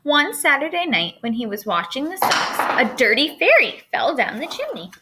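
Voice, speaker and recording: A woman's voice: high-pitched, wildly swooping pitch, moderate pace, noisy background. A woman giving a remote writing lesson as a schoolteacher, speaking directly to her pupils, explaining and asking questions. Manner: instructional, addresses listeners directly with questions. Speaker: elementary school writing teacher. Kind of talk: remote lesson with storybook read-aloud.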